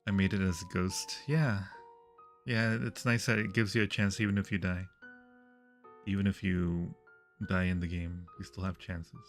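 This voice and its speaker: Man, lowish pitch, livestream host, casual gaming chat, faint game music behind. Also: steady tone, moderate pace.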